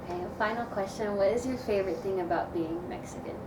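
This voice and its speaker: A woman interviewing someone, asking prepared questions in a brisk, matter-of-fact way. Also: medium pitch. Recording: roomy, distant mic, background noise.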